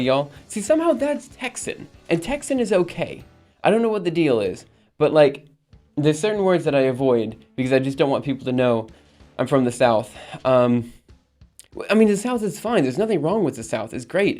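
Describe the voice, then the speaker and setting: A man's voice: medium pitch, darkish tone. Rambling man chatting on a live stream. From Alabama. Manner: rambling, reads chat aloud and answers, frequent ums and laughs. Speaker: livestream host. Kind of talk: casual live-stream chat with viewers.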